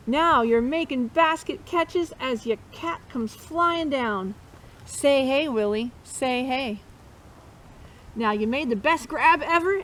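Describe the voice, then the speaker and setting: Woman, high voice, interactive picture-book read-aloud for kids, encouraging; slightly noisy recording. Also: a bit bright. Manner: encouraging, chanting refrains for listeners to repeat. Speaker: storytime host reading a picture book to children.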